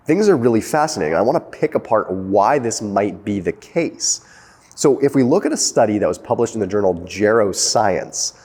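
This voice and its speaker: A man, not yet sixty, casually explaining health research as host of his own channel, talking fast and emphatically with frequent "okay" and "like". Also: lowish voice, a little mellow, in a large hall. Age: young adult.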